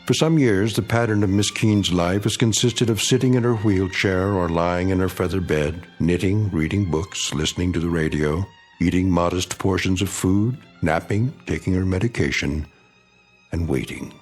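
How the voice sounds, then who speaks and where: A male narrator with a deep, low voice tells the story in a measured, ominous way. Faint music runs beneath his narration. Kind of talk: scripted dramatic narration.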